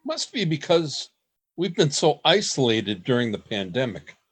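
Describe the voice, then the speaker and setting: A man's voice: medium pitch, very animated, a little slow, fairly bright tone, slightly rough, heavily acted. A man playing an older grandfather, shaky and earnest, in an amateur radio play. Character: shaky old grandfather, gentle and wondering.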